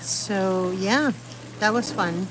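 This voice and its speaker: A woman's voice: medium pitch, very slow, noisy background. A middle-aged woman from Puerto Rico chatting casually outdoors during a live stream, rambling as she searches for words. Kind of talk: casual chat while painting. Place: outdoors under an umbrella.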